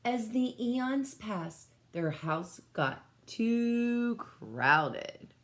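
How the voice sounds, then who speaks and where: A woman reading a picture book aloud as a teacher, pausing to explain and put questions to young children. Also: on the high side, expressive. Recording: echoey room sound.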